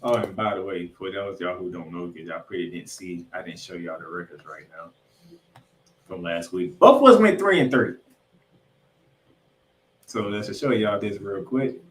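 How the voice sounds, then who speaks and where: A man's voice: deep voice, normal speed, long pauses, a little hiss or hum. A man hosting a sports podcast, giving football preview commentary in an announcer-like way. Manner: announcer-like, addresses listeners as ladies and gentlemen. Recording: roomy echo, distant from mic.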